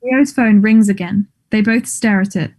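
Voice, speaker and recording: A woman reading stage directions as scripted narration in a measured, neutral manner. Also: mid-range voice, a little mellow.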